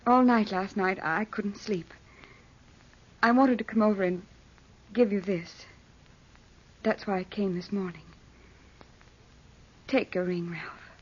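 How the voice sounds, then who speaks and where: An actress playing an earnest, anguished young fiancée in 1940s radio melodrama style, hesitant and tremulous. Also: female voice, mid-range voice, steady tone, slow pace, pauses often, husky. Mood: sorrowful resolve. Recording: muffled old radio drama recording.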